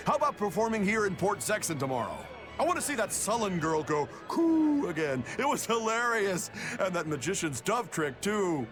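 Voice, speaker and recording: Pushy male merchant, high voice, swooping intonation, gushing, excitable, wheedling, game character dialogue. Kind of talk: video game character acting.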